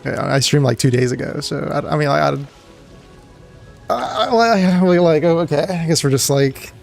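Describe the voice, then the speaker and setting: Gravelly-voiced man chatting while streaming. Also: on the high side, animated, normal speed, faint music behind. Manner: rambling, greets chat members, trailing fillers. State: gravelly, dry voice. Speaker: game streamer. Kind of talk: casual live-stream chat.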